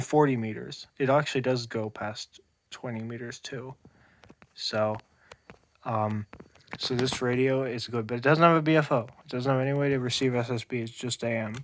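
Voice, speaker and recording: A man giving an unscripted show-and-tell as an amateur radio hobbyist, halting and often repeating and rephrasing himself. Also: mid-range voice, a bit bright, a little hiss or hum. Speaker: amateur radio hobbyist demonstrating homemade gear.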